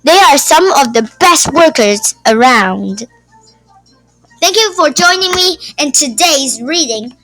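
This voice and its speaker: A woman reading a children's nonfiction book aloud as host, with deliberate, simple phrasing. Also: high-pitched, a bit bright, no room sound, rough recording. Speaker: YouTube read-aloud host.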